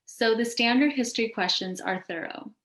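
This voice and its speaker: A woman's voice: a little high, moderate pace, a bit bright. A woman hosting a panel, reading out scripted interview questions. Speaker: host asking questions of a panel. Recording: roomy, echoing sound.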